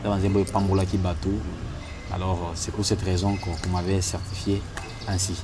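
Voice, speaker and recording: Very deep-voiced man from Kisangani. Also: level tone, a little fast, noisy background. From Congo, Kisangani. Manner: earnest, repeats thanks to God. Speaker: gospel singer and worship leader. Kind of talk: interview answers.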